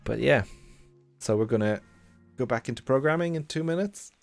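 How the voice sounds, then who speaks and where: A man's voice: mid-range voice, expressive, normal speed, gravelly, faint music behind. A man in his thirties with a mild Irish accent, chatting in a rambling, jokey way to his live-stream chat. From Ireland. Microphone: good desk mic at computer.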